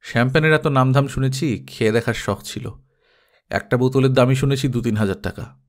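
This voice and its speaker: A man's voice: medium pitch, fairly quick, lightly acted. A man playing a talkative, wistful middle-class elder brother in an audio story, rambling on matter-of-factly. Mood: defensive, puzzled.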